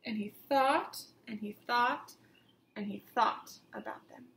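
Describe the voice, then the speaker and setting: A woman teacher reading a picture book aloud to young children, with expressive emphasis and simple questions put to the listeners. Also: a little high, slow pace, creaky, echoey room, low-quality recording. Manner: simple instructive phrasing, questions addressed to children.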